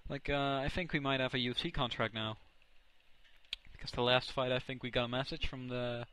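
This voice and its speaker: Bright-voiced Dutch gamer, excitable. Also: male voice, medium pitch, fairly quick, smooth. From Netherlands. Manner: excitable, self-correcting, reacts loudly to plays. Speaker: gaming commentator. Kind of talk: casual let's play commentary.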